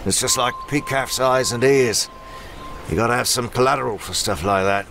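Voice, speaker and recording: An actor playing a grim, cynical swordsman with a gruff, curt, scornful manner in a comic dub. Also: medium pitch, moderate pace, background noise, lightly acted. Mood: grim explaining. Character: grim, cynical, battle-hardened male swordsman, harsh and blunt. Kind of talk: dramatic comic dub acting.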